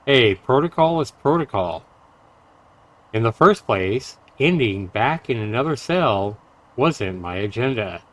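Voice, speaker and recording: A man livestreaming a visual novel, reading it out dramatically and deliberately with casual asides. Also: medium pitch, lively intonation, a bit bright. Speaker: game streamer. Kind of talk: reading a visual novel aloud with live reactions. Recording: music behind the voice. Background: game music.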